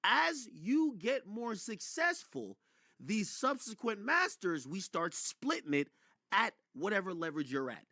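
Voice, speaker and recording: A man's voice: high voice, sing-song, a little slow, sharp tone, no room sound, clean studio sound. A man giving emphatic, opinionated, profanity-laced live commentary as a streamer talking to his chat. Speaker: streamer commenting on rap news; record label owner.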